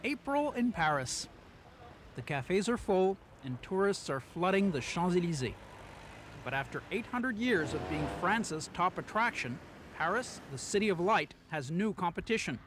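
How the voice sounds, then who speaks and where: Male reporter, high and wry, archival news voiceover. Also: sing-song, moderate pace, background noise. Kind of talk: scripted news narration.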